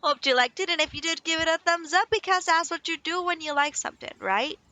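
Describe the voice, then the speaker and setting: A woman's voice: very high-pitched, quick pace, bright, forceful tone, no room sound. A young woman giving chatty, rambling, playful commentary as she plays a game. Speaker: gaming streamer. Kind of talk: casual let's play commentary. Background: game music.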